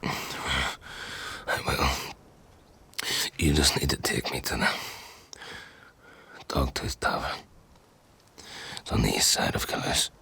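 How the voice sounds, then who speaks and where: An actor playing a gravely ill, stubborn fugitive in a dark fantasy audio drama, speaking in weak, breathy, halting phrases. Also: male voice, extremely low pitch, expressive, exaggerated voice. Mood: guarded, weak. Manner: halting, faint, mumbled phrases. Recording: clear noise, clicks.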